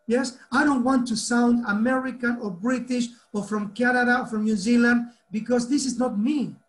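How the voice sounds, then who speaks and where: Man, Argentinian accent, high-pitched, earnest teacher trainer, video call. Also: moderate pace. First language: Spanish. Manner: earnest and animated, asks the group questions. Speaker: teacher trainer hosting an online meeting. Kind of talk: casual talk to a video-call group.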